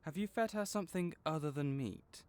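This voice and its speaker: A man playing a kind, patient veterinarian for magical creatures in a comforting audio roleplay, explaining things gently and encouragingly. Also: on the high side, moderate pace, close to an everyday voice. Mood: upbeat, approving. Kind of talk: comforting audio roleplay, one-sided conversation.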